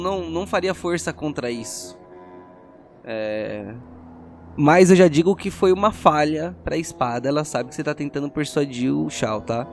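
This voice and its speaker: A man's voice: fairly high pitch, creaky. A man with a Brazilian accent narrating a tabletop role-playing game as game master, telling the story dramatically and checking in with players. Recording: music behind the voice.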